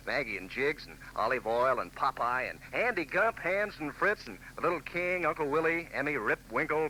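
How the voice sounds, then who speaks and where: A hearty man selling to kids on a 1940s radio show, in a salesy manner. His fairly high voice swings lively up and down and sounds bright. Faint hiss of an old broadcast lies behind. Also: low-quality recording. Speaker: announcer.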